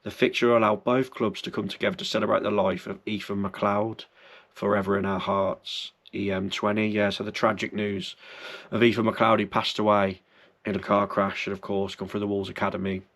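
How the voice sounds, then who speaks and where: A man chatting casually through football club news, slightly croaky and under the weather, rambling and correcting himself as he goes. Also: lowish voice, little pitch movement, brisk, a bit bright. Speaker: football fan channel host. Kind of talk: casual fan news chat.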